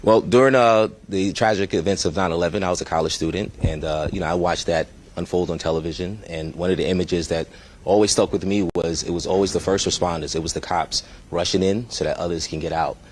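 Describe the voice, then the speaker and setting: Deep-voiced man, measured and hesitant. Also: fairly even intonation, a little hiss or hum. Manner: measured, hesitant with many fillers. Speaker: police chief. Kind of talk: press conference answers.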